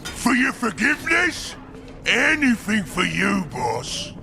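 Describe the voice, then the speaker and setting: Gravelly, scheming ork warboss. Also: male voice, high voice, wildly swooping pitch, slow pace, pushed and bright, full character voice. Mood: mock deference. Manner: gruff, sly, swinging into bellows. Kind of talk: video game cinematic acting.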